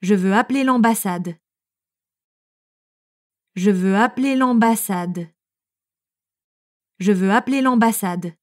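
A woman narrator reading French phrases for learners, clearly and evenly, each one repeated. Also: mid-range voice, slow pace, long pauses. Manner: reads each phrase three times, evenly.

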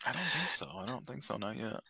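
A man's voice: medium pitch, expressive, quick pace, vocal fry, sharp tone, slightly rough, no room sound. A man co-hosting a casual wrestling podcast, mostly giving short agreeing replies and laughing along. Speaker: podcast co-host. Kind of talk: casual wrestling review chat.

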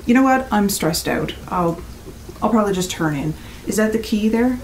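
A curt, stressed female guest at a rental, played by an actress, with a medium-pitched voice. She is blunt and critical in a casual, naturalistic audio-drama manner, with faint noise behind her. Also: lively intonation, moderate pace, lightly acted.

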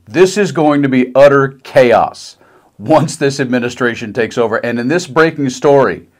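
A middle-aged man giving sarcastic, mocking political commentary in a conversational way. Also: a little high. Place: small decorated room indoors.

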